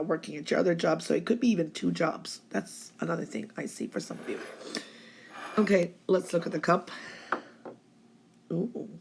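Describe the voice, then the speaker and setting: A woman's voice: low-pitched, gravelly, a little mellow, a little hiss or hum. A woman with laryngitis, giving a chatty, reassuring fortune reading. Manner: chatty, reassuring, keeps saying okay. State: hoarse from laryngitis. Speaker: psychic reader. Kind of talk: tarot-style weekly reading.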